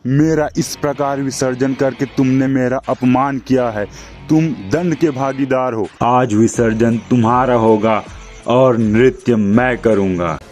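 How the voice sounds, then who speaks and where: A man's voice: mid-range voice, steady tone, unhurried, darkish tone, faint music behind, natural voice. A man voicing an offended, stern god who scolds and declaims reproachfully in a cartoon moral story. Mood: stern judgement.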